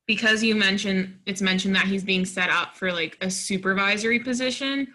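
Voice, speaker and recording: A woman with a mid-range, sharply bright voice offers feedback in a group workshop. She talks conversationally, thinking her points through aloud. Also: medium pitch, moderate pace. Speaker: readers theatre workshop participant. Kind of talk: giving script feedback in a group discussion.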